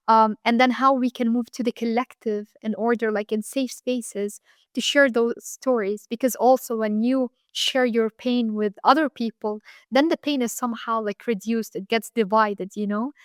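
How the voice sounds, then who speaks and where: A young woman from Lebanon speaks as an interview guest, earnest and reflective, often filling pauses with "um" and "like." Her voice sits a little high, and the recording is dry and close with no room sound. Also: no room sound. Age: 20s. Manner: earnest, frequent fillers like "um" and "like".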